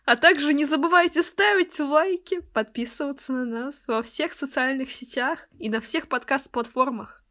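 A young woman in her twenties hosts a podcast with her friends, with a fairly high voice. She talks quickly and playfully and slips into cheerful exaggeration. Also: a bit bright. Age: 20s. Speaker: podcast co-host. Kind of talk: casual podcast chat.

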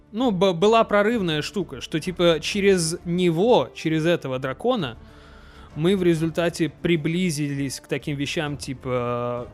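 Young male podcast co-host, talkative and loose, slightly high voice, faint music under. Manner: chatty, quick restarts, frequent fillers. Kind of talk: casual chat.

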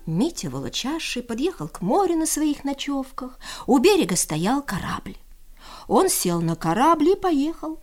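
High-pitched, animated woman telling a folk tale. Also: unhurried, soft background music. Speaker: storyteller. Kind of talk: expressive folk tale narration.

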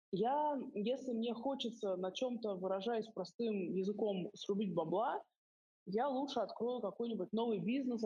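Husky middle-aged lady explaining money stuff step by step, muffled voice chat sound. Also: medium pitch, mellow. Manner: methodical, structured into numbered points with frequent "э" and "м" fillers. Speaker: independent financial advisor. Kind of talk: explaining her investing approach in a live audio chat. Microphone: voice chat over phone or computer. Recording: dull lo-fi voice chat audio.

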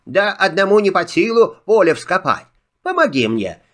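A man voicing a sly, chatty little male rabbit trickster in a filmstrip audio drama, talking in a wheedling, flattering way. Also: high voice, normal speed. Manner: wheedling, flattering, sing-song.

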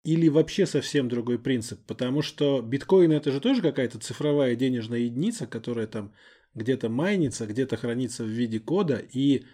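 A man's voice: medium pitch, lively intonation, fairly quick, mellow. A man chatting casually as a podcast co-host, talking in little imagined scenes like a storyteller. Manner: storytelling, acts out imagined remarks. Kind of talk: casual conversational chat. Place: podcast recording studio. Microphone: close studio microphone.

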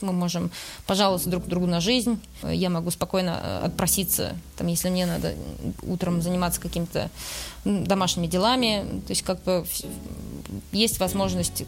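Low-voiced Russian woman, thoughtful. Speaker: interview guest, museum worker. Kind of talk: conversational interview answers.

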